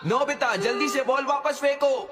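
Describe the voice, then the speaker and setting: A man's voice: very high voice, normal speed, noisy background. A man playing a bossy, hot-tempered boy bully in a Hindi cartoon dub, commanding and menacing. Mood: shouted threats. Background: loud music.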